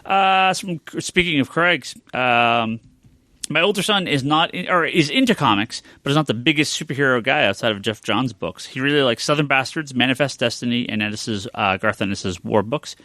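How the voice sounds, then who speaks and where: A man's voice: medium pitch, expressive, normal speed, sharp tone, no room sound. A middle-aged man chatting casually and giving his opinions as a podcast co-host. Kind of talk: casual panel chat about comic books.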